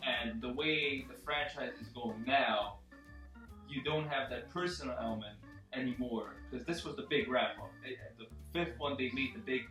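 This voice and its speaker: A man's voice: medium pitch, normal speed, sharp tone. Echoey, distant man discussing a movie. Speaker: panel member in a film discussion. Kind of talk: casual opinionated movie discussion. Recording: echoey room, off the mic, faint music.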